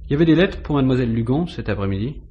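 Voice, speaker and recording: An actor playing a methodical, insistent police inspector questioning a suspect in a 1950s French radio mystery style. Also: male voice, mid-range voice, animated, rapid-fire, mellow, muffled recording, close to an everyday voice. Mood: probing. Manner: insistent, probing, authoritative questioning.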